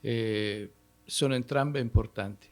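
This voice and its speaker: A man's voice: fairly low pitch, unhurried. A man from Italy, a historian guest, talking unscripted at an event and restarting phrases as he thinks aloud.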